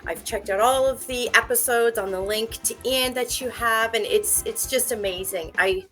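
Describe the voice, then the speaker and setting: A woman whose first language is French, speaking English as an interview guest in an animated, talkative way with frequent fillers. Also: fairly high pitch, moderate pace, soft background music. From Canada. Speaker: guest, first aid instructor. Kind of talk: casual interview talk.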